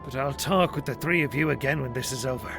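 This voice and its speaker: Man playing a gravelly, stern fantasy ranger. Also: mid-range voice, quick pace, over music, natural voice. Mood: grim resignation. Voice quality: gravelly, hissing. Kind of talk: dramatized fantasy audiobook.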